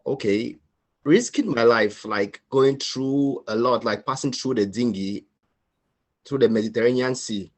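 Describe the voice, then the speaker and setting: A man from Cameroon, giving a halting, earnest personal testimony as a panelist on a video call. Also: medium pitch. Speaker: panelist sharing his asylum story.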